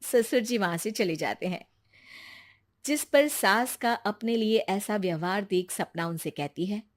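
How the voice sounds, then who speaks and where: Woman narrator with a mid-pitched voice. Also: expressive, unhurried. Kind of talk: scripted story narration.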